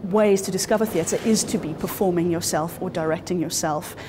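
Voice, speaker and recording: Lowish-voiced South African woman explaining. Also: brisk, noisy background. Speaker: festival organiser. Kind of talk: interview.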